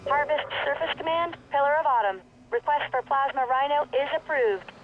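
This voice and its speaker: An actress playing a brisk, clever scientist giving mission updates in a video game, clipped and businesslike. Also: female voice, sits high, narrow, tinny audio, a little hiss or hum, natural voice. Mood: focused, efficient.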